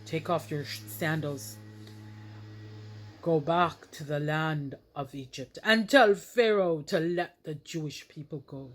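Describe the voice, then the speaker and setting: A woman voicing a commanding male God for a children's story, solemn and loud. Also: low-pitched, takes it slowly. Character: commanding male God calling from burning bush.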